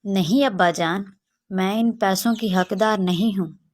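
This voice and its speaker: A woman's voice: medium pitch, unhurried, close to an everyday voice. A woman voicing a principled, grateful young village daughter in a children's moral story, polite and earnest. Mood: resolute.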